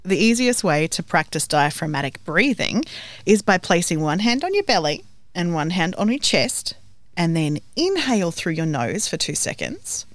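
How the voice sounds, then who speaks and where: A woman's voice: lowish voice, sing-song, normal speed, no room sound. A woman co-hosting a podcast, playfully teasing her co-host while explaining with mock authority. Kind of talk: scripted podcast chat with banter. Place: recording studio.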